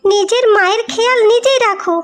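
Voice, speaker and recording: Woman voicing a shrilly high, haughty young wife. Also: a little fast, music in the background. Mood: haughty, indignant. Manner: indignant, scolding, haughty. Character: vain, haughty, quarrelsome young new wife. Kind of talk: melodramatic cartoon voice acting.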